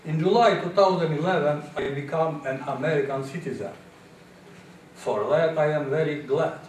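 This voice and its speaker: A man's voice: on the high side, normal speed, darkish tone, very echoey, far from the mic, a little hiss or hum, low-quality recording. A man in his seventies from Albania, with an Albanian accent and non-native phrasing, carefully giving a prepared speech at a university event. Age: 70s. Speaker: elder student giving a speech. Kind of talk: prepared speech read aloud. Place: university lounge.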